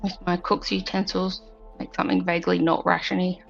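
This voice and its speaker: A woman with a creaky voice talking casually as a tabletop game player. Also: on the low side, very animated, a little fast. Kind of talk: casual chat. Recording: dull lo-fi mic, faint music.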